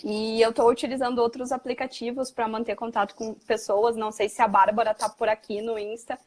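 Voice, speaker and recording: A woman's voice: fairly high pitch, flat delivery, a little fast, a little mellow. A woman hosting a casual live stream who chats freely and reads out viewers' comments. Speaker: host.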